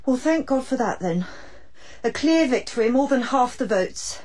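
An adult daughter in a radio drama, supportive yet exasperated. Her voice is fairly high and very animated, with a wry edge. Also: normal speed.

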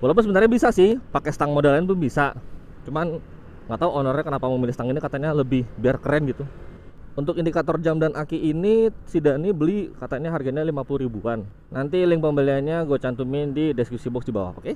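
A man's voice: on the high side, fast. Young man, riding commentary, helmet mic, faint traffic hum. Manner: chatty, relaxed, informal with frequent "guys". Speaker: motovlog host. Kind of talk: casual motovlog commentary while riding. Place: on a motorcycle on a city road outdoors.

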